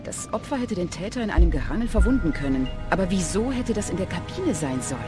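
A woman's voice: on the low side, sing-song, fast. A woman playing a serious, businesslike and sceptical police investigator in an audio drama. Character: serious, driven female police investigator Carla. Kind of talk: audio drama acting of a video game story. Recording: music under the voice.

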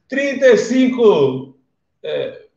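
A man's voice: high voice, a little mellow, echoey room, dull audio. A man from Brazil with a Brazilian Portuguese accent, giving a casual live-streamed class and telling stories as he questions his listeners. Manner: chatty storyteller, questions the listeners.

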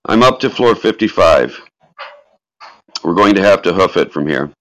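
A man's voice: low voice, expressive, moderate pace, a bit bright, no echo, voice pushed up, low-quality recording. Man reading as a terse, urgent fire chief. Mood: urgent, focused. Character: focused male fire chief reporting over radio.

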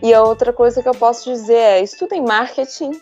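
A woman's voice: a little high, steady tone, brisk, darkish tone. A woman architect in casual podcast chat, talking in a chatty, informal way. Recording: dull lo-fi sound with faint music.

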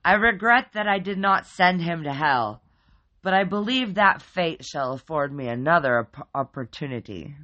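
A man voicing a huge, formal male teddy bear demon with a booming, stiff way of speaking. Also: expressive, moderate pace, pushed and bright. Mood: solemn booming. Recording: dull lo-fi recording.

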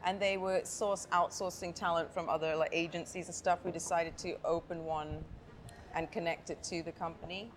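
A woman's voice: on the low side, steady tone, normal speed. A woman in her thirties chatting frankly as a guest on a casual interview podcast, often laughing. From Thailand and Hong Kong. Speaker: guest artist. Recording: faint background noise.

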